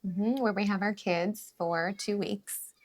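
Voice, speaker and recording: A woman from California co-hosts a family vlog beside her partner. She chats casually, backing him up with quick agreement and filling in lists of details. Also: medium pitch, steady tone. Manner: affirming, adds lists and details. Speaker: vlog co-host. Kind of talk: casual chat.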